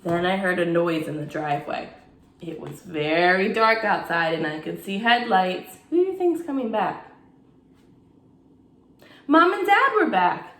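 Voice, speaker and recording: A woman, a teacher, expressively reading a bedtime story aloud to children and pausing to ask her young listeners questions. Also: on the low side, big pitch swings, fairly bright tone, a little hiss or hum. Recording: echoey room.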